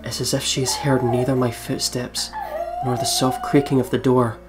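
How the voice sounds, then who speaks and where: A let's play host narrates a visual novel aloud, deliberate and dramatic. The pitch is mid-range and stays fairly even, with music playing clearly behind the words. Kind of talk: reading visual novel text aloud with spoken reactions. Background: game music.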